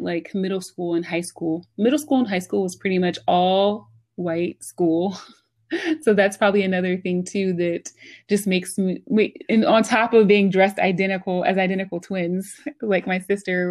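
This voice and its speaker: A woman's voice: medium pitch, darkish tone. An American woman talking as an interview guest in a casual, chatty, informal way, often saying "like" and "you know". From United States, Philadelphia area. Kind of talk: casual conversational storytelling.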